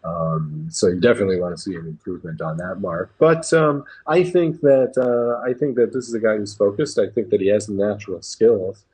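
American male basketball pundit, low register, very rough-edged husky voice, opinionated, conversational. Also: brisk, hardly any pauses, a little mellow, poor audio. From New England, United States. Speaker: basketball commentator. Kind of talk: casual sports talk.

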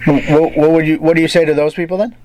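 A man's voice: a little high, fairly even intonation, quick pace, background noise. Man asking probing interview questions. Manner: probing, restarts mid-question.

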